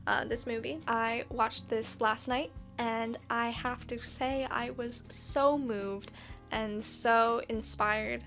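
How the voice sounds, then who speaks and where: A woman with a fairly high voice speaks candidly to her viewers as a video review host. Her delivery is earnest, casual and reflective. Faint music plays behind her. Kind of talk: casual reflective talk to camera.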